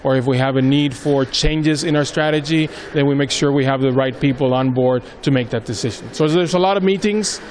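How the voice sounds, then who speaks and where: A man's voice: medium pitch, fairly quick, few pauses, a little mellow, a little hiss or hum. A man in his thirties from Honduras, a native Spanish speaker with an American-schooled accent, answering interview questions conversationally with frequent fillers. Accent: American-schooled Honduran English. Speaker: strategy manager at a postal company.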